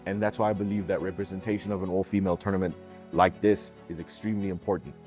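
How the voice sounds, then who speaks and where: A man's voice: low-pitched, steady tone, fast. A man talking in a documentary interview. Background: soft guitar music.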